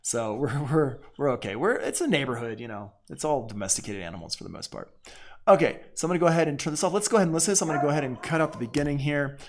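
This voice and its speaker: A man's voice: a little high, sing-song, racing. A man teaching music production in a casual, informal tutorial, thinking aloud as he works. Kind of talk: casual screen-share software tutorial.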